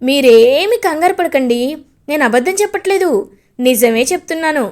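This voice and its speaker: A woman's voice: high voice, expressive, normal speed, darkish tone. A woman voicing an earnest young woman who reassures and pleads. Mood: reassuring.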